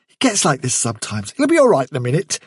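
A man's voice: fairly high pitch, wildly swooping pitch, fast, slightly rough, dry recording, studio quality, pitched above the performer's own voice, full character voice. A man voicing an earnest, respectful young male rabbit in a storybook, with a polite, hesitant and deferential manner. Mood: apologetic.

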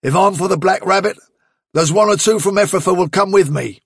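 A man's voice: high-pitched, fairly quick, slightly rough, no echo, clean studio sound, pitched above the performer's own voice. A British man voicing a bluff, hearty male rabbit fighter in a storybook, chatty and plain-spoken. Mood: resolute.

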